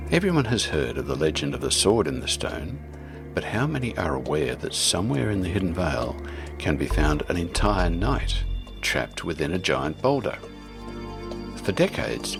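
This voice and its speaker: A man hosting a radio storytime show, moving between casual announcements and scripted story reading over music. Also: rumbling low.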